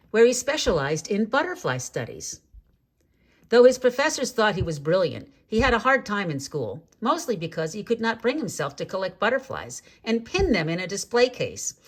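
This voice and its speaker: Female storybook reader, mid-pitched, expressive and measured, with dramatic phrasing for a children's tale. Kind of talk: expressive read-aloud children's story narration.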